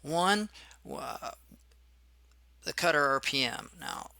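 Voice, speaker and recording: Hoarse man with gravelly voice. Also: medium pitch, animated, slow pace, sharp tone, dry recording. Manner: casual, rambling with many fillers. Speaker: machining instructor. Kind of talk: screen-recorded tutorial.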